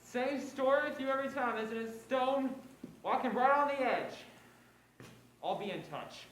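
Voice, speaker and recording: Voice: sits high, moderate pace, close to an everyday voice. A student actor playing a blunt, suspicious police inspector in a noir stage play. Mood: suspicious, pressing. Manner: blunt, clipped, slangy. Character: brusque, suspicious police inspector, needling a detective. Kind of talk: 1940s noir stage acting. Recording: roomy stage echo, faint background noise.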